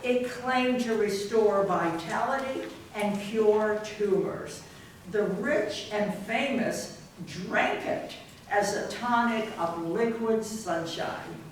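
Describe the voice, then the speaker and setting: A woman's voice: medium pitch, takes it slowly. A woman giving scripted narration for a readers theater performance. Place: library readers theater performance, distant microphone. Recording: very echoey, distant mic, faint noise.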